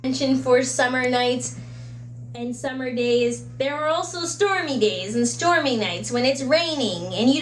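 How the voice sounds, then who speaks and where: A woman giving casual, chatty book recommendations in a conversational way, slipping into little acted-out asides. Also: high-pitched. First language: English. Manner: conversational, acts out little imagined quotes and asides. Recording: roomy echo with faint background hum.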